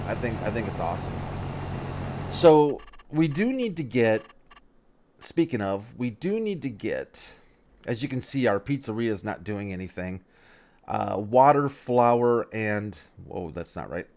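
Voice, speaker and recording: A man's voice: medium pitch, a little mellow. A man giving casual let's-play commentary on a farming game, thinking aloud, rambling and often correcting himself mid-sentence.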